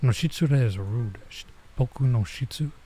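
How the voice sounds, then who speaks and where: A man doing casual let's-play commentary as a streamer, thinking aloud in a halting way and mixing in Japanese phrases. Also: mid-range voice, mellow, husky.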